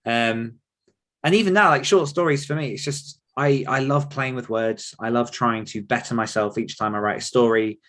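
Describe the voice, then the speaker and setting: A man's voice: medium pitch, normal speed, a bit bright. A man speaking earnestly and conversationally as an author coach being interviewed.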